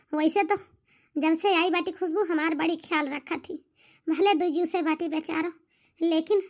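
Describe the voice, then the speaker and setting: Someone voicing a very high-pitched, plaintive elderly village mother-in-law. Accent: Awadhi. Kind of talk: cartoon comedy acting.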